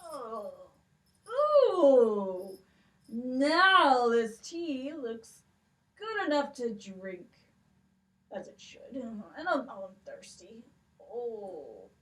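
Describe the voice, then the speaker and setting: Woman puppeteer playing a sleepy giant man, slow mumbling groans, slightly distant. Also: a little high, very animated, a bit bright, exaggerated voice. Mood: drowsy. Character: giant man, sleepy, greedy for his tea. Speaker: librarian puppeteer.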